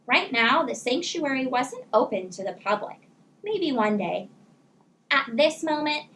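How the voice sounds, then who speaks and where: A woman reading a children's book aloud as a teacher would, in expressive, deliberately phrased storytelling. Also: high-pitched, a little hiss or hum.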